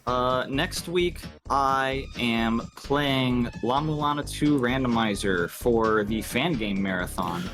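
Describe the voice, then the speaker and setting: A man with a medium-pitched voice chats casually as a livestream guest. His way of talking is easygoing and informal, with music clearly audible behind him. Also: slow, hardly any pauses. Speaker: livestream show guest and contestant. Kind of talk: casual chat. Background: background music.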